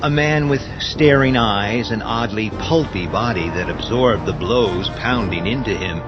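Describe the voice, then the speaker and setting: An actor playing a grave, ominous storyteller, giving dramatic narration for a horror radio play. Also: male voice, lowish voice, measured pace, close to an everyday voice. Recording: dull radio drama mix with music.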